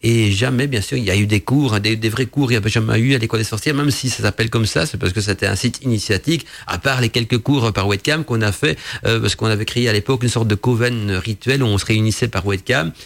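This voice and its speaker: A man's voice: on the low side, fast, creaky, few pauses. A man whose first language is Dutch, hosting a radio talk show and answering a listener's question in hesitant French with many restarts. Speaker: podcast host.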